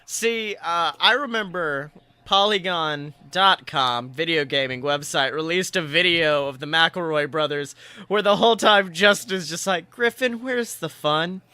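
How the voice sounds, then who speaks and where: Man, podcast host, very bright sharp voice, close dry sound, casual joking slang. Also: fairly high pitch, a little slow, clean tone, no room sound. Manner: animated, joking, slangy, laughs readily. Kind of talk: casual chat about video games.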